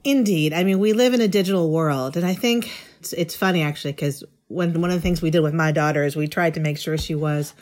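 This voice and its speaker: Low-pitched articulate woman in an interview. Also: quick pace, a little mellow. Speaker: interview guest, education writer. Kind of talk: thoughtful conversational interview.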